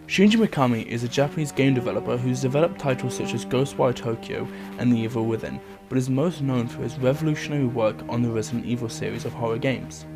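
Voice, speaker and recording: A man's voice: mid-range voice, fast talker, few pauses. Man narrating a scripted video essay over music. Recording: music under the voice. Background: background music.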